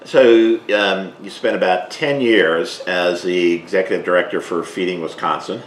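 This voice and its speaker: A man hosting a cooking interview podcast, asking questions in a relaxed, measured way with many ums. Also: sits low, reverberant, a little hiss or hum. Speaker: podcast host.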